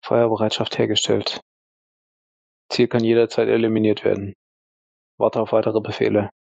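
A man's voice: lowish voice, monotone, moderate pace, vocal fry, a little mellow, no room sound, lo-fi sound. A man playing a disciplined army sniper in an audio drama, giving terse, formal military replies. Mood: controlled, focused. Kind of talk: role-play audio drama.

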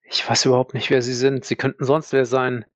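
A man playing a confused, defiant captive army captain in an audio roleplay, halting, guarded and distrustful. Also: mid-range voice, level tone, no room sound, muffled recording, natural voice.

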